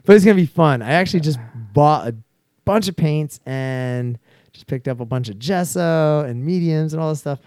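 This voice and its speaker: A man's voice: fairly high pitch, moderate pace, a little mellow, dry recording, clean studio sound. A man in his forties chatting casually as a guest, laid-back and slangy, with many restarts. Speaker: guest skydiver. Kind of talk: casual chat.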